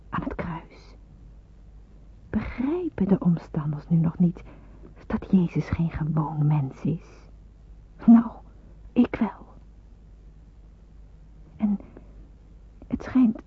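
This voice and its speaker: A woman's voice: mid-range voice, slightly rough, no echo, full character voice. A woman playing an earnest, fervent believer in wartime, delivering a dramatic, intense and reflective radio play monologue. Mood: fervent, questioning. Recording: muffled phone-like old radio play recording.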